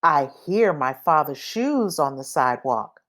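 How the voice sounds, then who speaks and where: A woman reading a children's picture book aloud in a deliberate, expressive manner. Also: low voice. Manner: deliberate, expressive, acts out sound effects. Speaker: read-aloud reader.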